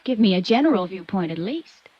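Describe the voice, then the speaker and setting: A woman's voice: medium pitch, expressive, fairly quick, no room sound, lightly acted. An actress playing a defiant, headstrong young woman from a rich household in a 1950s radio drama, blunt and dramatic. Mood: probing, blunt. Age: young adult. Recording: muffled old radio recording.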